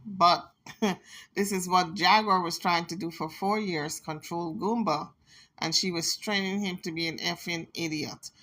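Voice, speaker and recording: Bright-voiced scolding woman commentator. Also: fairly low pitch. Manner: emphatic, scolding, reads messages aloud. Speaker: commentary channel host. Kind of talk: casual gossip commentary.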